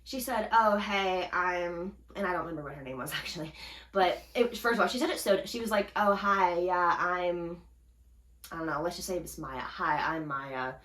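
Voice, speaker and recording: A young woman co-hosting a casual podcast, telling a story in an animated way, acting out quotes and laughing often. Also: fairly low pitch, moderate pace, reverberant. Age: young adult. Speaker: podcast co-host.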